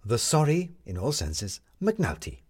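A man's voice: mid-range voice, wildly swooping pitch, unhurried, husky, pitched above the performer's own voice, exaggerated voice. A man voicing a commanding, wry woman reporter in a story, articulate and sardonic as she lectures. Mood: cutting.

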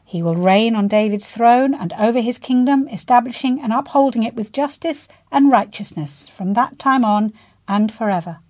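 A woman's voice: on the high side, normal speed, darkish tone. A woman presenter reading aloud in the style of a scripture reading for a local information service.

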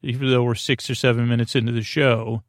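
A man hosting a bedtime podcast with a creaky voice, meandering gently and hesitantly through soothing, tangent-filled reassurance. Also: medium pitch, flat delivery, a little fast, no room sound, clean studio sound. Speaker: host of a bedtime sleep podcast. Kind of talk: rambling, soothing bedtime monologue.

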